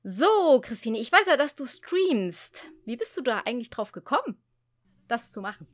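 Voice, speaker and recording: Female radio interviewer, clearly high voice, chatty and informal. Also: very animated, moderate pace. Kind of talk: casual radio interview talk.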